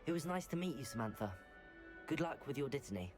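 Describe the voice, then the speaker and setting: Man playing a curious, animated new wizard student. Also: medium pitch, quick pace, over music, lightly acted. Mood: polite, curious. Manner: polite, curious. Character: polite, keen teenage new student at wizard school. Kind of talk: scripted game dialogue.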